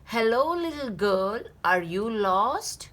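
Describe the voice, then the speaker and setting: Storybook big bad wolf, played by a woman: sly, coaxing, higher-pitched, very animated delivery. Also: slow pace, exaggerated voice. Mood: slyly coaxing.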